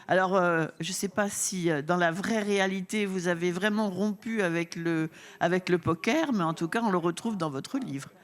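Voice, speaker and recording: A woman's voice: fairly low pitch, moderate pace. A woman co-moderating a panel, introducing a guest with hesitations. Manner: hesitant, informal.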